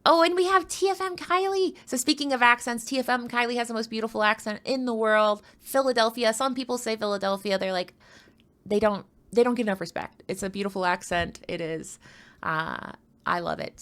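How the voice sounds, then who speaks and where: A woman from the United States commentates a livestreamed card tournament. She is chatty and playful, wandering into asides as she banters with viewers. Her pitch sits in a middle range for a woman. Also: brisk, fairly bright tone. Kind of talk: casual live commentary and banter with chat.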